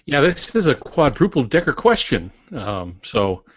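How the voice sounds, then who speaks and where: A man in his sixties co-hosting a casual podcast over an online call, explaining things in a wry, measured way. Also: medium pitch, sing-song. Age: 60s. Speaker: podcast co-host and writer. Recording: dull, dry, lo-fi online call audio.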